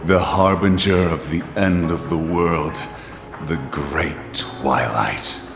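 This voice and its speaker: A man playing a grave villain in a video game cutscene, foretelling doom in measured, portentous phrases. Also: sits low, creaky, exaggerated voice. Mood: ominous. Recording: echoey hall reverb, prominent music behind.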